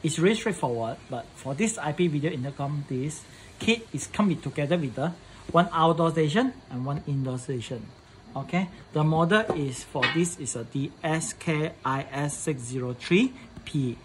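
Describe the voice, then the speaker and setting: A man presenting a casual hands-on setup tutorial in halting English, often repeating his phrases. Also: a little high, a little hiss or hum, rough recording.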